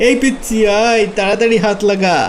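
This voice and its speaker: Shrill gloating fisherman. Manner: mocking, exclamatory. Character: boastful, gloating, lucky idol-worshipping fisherman. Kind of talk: cartoon dialogue.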